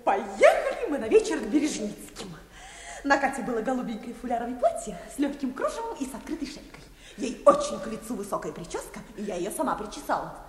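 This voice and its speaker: A frivolous society wife who chatters and gushes. Her high voice swoops extremely widely, in comic radio-play style, with long reverb around it. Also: wildly swooping pitch, quick pace. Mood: gushing delight. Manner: gushing, chatty. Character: chattering, frivolous, gushing wife of bank director. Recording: roomy, reverberant radio drama recording.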